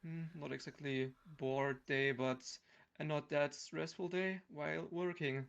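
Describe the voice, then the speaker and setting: A man in his thirties speaking as a non-native speaker, halting and searching for words in a casual interview. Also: fairly high pitch, steady tone, gravelly, fairly bright tone, smooth, highs cut off. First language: not English. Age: 30s. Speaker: voice actor guest.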